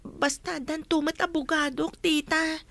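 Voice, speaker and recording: A woman's voice: high voice, level tone, unhurried, creaky, a bit bright, full character voice. A woman playing a young, earnest captive niece in an audio drama, speaking respectfully to her elders. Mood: guarded, polite. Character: young captive heiress Eva, pleading, hopeful, dutiful niece. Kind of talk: Ilocano audio drama acting.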